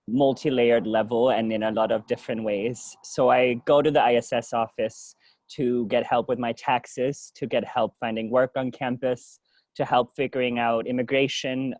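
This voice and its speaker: A man's voice: mid-range voice, a little mellow, no echo. A young man from Denmark, a native Danish speaker, giving an informal presentation as a student leader. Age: young adult. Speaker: student government president.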